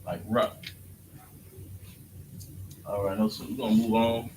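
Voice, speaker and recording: A man's voice: on the low side, flat delivery, measured pace. Casual male teacher in an echoey room. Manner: casual, checks understanding often. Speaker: class teacher. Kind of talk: classroom lesson on alphabet letters. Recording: echoey room, distant mic, faint noise.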